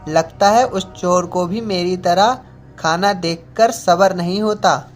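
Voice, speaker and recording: A woman's voice: fairly low pitch, takes it slowly, soft background music. A woman voicing a young village boy, one of a group of friends in a children's cartoon story. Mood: curious.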